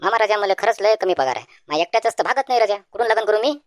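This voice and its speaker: A young village man as acted by a woman who talks quickly in a rural Varhadi Marathi accent. He is wary and plain-spoken, with wry back-talk suited to a comic cartoon. The voice sits at a medium pitch in a dry recording. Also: lively intonation, no echo, natural voice. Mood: resigned, wry. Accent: Varhadi Marathi (Vidarbha).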